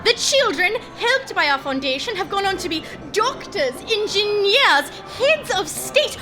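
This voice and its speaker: A high voice draws its words out slowly over music, rising and falling in grand affront. This is a prim alien society hostess, formal and haughty. Her indignation is played for comic space-opera audio drama. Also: big pitch swings, full character voice. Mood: outraged.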